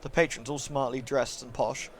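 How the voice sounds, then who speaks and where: A man's voice: mid-range voice, steady tone, moderate pace, a little hiss or hum. A man livestreaming, switching between polite, thankful chat with viewers and reading narration aloud. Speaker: live streamer reading a visual novel aloud.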